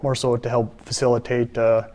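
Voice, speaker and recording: A man's voice: medium pitch, level tone, normal speed, dark tone. A man in his thirties, a fisheries biologist, answering interview questions plainly with many filler uhs. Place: studio, seated.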